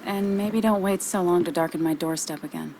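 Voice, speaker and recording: A woman's voice: lowish voice, fairly quick, warm tone, slight background noise, close to an everyday voice. An actress playing a wry, teasing woman in a video game, with playful, dry banter. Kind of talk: naturalistic video game acting.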